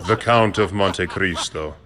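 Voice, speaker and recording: An actor playing a cold, commanding aristocratic count in an audio drama, speaking in a formal, measured and imperious way. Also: male voice, sits low, background noise. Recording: long reverb, loud music behind.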